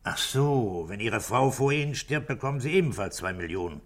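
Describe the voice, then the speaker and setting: A man playing a calm, middle-aged police inspector in a 1960s German radio drama, questioning in terse, measured phrases. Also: mid-range voice, lightly acted. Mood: probing, measured.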